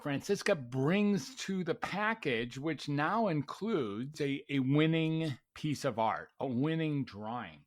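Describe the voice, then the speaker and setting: A man's voice: fairly high pitch, expressive, takes it slowly, few pauses. A man hosting a podcast, chatting casually and affirming his guest with frequent "mm-hmm"s. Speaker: podcast host and psychiatrist. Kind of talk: casual interview chat.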